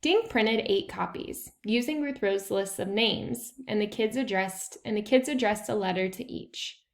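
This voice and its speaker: A woman narrates a children's chapter book. Her voice sits at a middle pitch with noticeable creak, and she reads expressively, as a storyteller does for young listeners. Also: measured pace. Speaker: read-aloud narrator.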